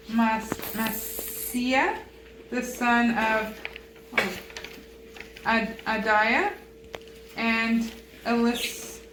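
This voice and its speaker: Halting woman reading scripture in a noisy, echoey room. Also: fairly high pitch. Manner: halting, stumbles over unfamiliar names. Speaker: Bible reader. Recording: roomy echo with loud background noise.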